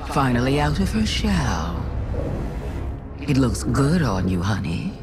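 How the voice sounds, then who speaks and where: A woman's voice: extremely low pitch, big pitch swings, slow, full character voice. An actress voicing a sly, taunting witch queen in a video game, with a languid, mocking manner. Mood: sly, amused. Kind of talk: video game villain acting. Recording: loud music over the voice.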